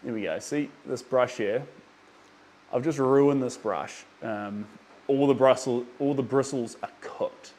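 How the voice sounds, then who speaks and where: Young kiwi guy painting on a livestream, rambly and chatty, lots of ums, mid-pitched voice. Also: unhurried, darkish tone. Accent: New Zealand. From New Zealand. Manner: chatty, rambling with many ums, reads out chat names. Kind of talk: casual livestream chat while painting.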